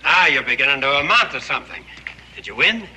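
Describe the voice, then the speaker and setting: Piercingly forceful man, hard-bitten seaman father, blunt, belligerent, medium pitch, TV western. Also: sing-song, fast, slight background noise. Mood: goading, combative. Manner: blunt, goading. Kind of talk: TV western acting.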